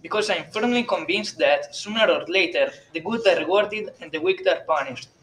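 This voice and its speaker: Audiobook priest, played by a woman: grave, probing, measured, formal. Slight noise behind the voice. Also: on the low side, fairly bright tone, close to an everyday voice, poor audio. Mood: grave, solemn.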